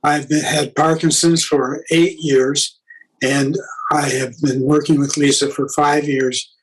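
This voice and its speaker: A man's voice: on the high side, little pitch movement, slow, a little hiss or hum, rough recording. A man in his eighties introducing himself plainly to a group. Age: 80s. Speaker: group participant. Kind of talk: self-introduction.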